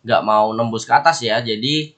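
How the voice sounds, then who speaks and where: A man's voice: mid-range voice, normal speed, fairly bright tone. Man with a stuffy, nasal cold voice. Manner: chatty, repeats words, friendly asides to viewers. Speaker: forex analysis YouTuber. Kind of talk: casual market commentary to camera.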